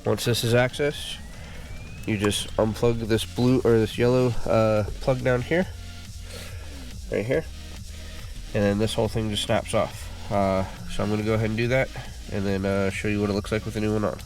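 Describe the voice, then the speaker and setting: A congested man hosting a car repair channel, giving a relaxed, casual how-to walkthrough full of fillers while working on a car. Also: lowish voice, normal speed, faint music behind. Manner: relaxed, filler-heavy explaining.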